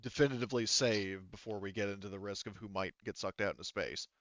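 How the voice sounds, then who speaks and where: A man improvising as game master of a tabletop game, thinking aloud in a halting, casually profane way. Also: lowish voice, steady tone, a bit bright, no echo, muffled recording.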